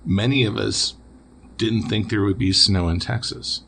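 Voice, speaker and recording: A man's voice: fairly low pitch, a little slow, creaky, a bit bright, slight background noise. A man from Wisconsin hosting a casual interview podcast, easygoing and chuckling as he asks follow-up questions. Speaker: podcast host.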